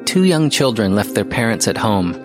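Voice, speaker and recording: An actor playing an investigative podcast host, narrating a mystery audio drama in a measured way with deliberate pauses. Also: male voice, lowish voice, very animated. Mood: ominous, measured. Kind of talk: scripted audio drama narration, documentary style. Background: music.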